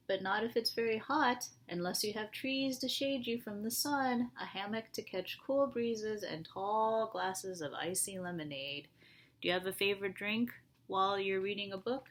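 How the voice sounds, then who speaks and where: A woman reading a picture book aloud to young children in an animated way, often asking them questions. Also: mid-range voice.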